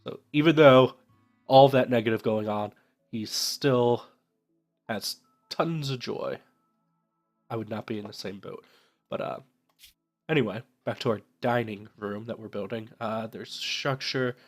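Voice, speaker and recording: A man's voice: medium pitch, measured pace, long pauses, soft background music. A young man with a stuffed-up, nasal voice from a cold, casually commenting on a game while thinking aloud with many fillers. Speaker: let's play host.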